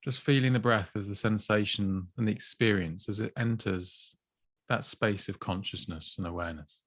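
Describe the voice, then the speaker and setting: A man gently guiding a body scan meditation, slow and soothing with long pauses. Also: on the low side, no echo, clean studio sound. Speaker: mindfulness teacher.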